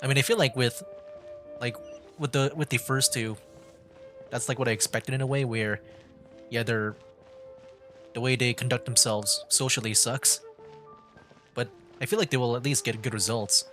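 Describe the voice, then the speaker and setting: Male livestreamer, average pitch, casual gaming commentary, loose thinking-aloud delivery, soft game music. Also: fairly even intonation, moderate pace, long pauses.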